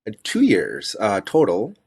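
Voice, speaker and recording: A man's voice: lowish voice, huge pitch swings, unhurried, creaky, a little mellow, slightly rough. A man in his thirties from the United States, a guest telling his life story in a casual interview, rambling with restarts and fillers.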